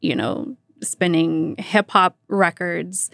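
An American woman hosts a relaxed, informal radio interview in a close, dry recording. She talks in a chatty, conversational way, sprinkling her questions with small filler phrases like "you know". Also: fairly low pitch, takes it slowly, vocal fry, no echo. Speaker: radio host interviewing a guest.

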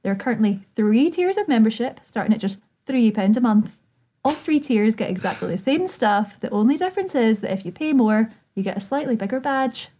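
A woman's voice: a little high, expressive, a little fast, warm tone. A woman co-hosting a casual podcast, reading promo copy with a wry, teasing manner. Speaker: podcast co-host.

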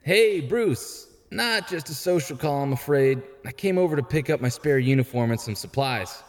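A man's voice: mid-range voice, animated, moderate pace, close to an everyday voice. A man playing a wisecracking young vigilante and former sidekick in an audio drama, full of breezy, sarcastic banter. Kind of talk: fan audio drama acting. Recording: long hall-like reverb.

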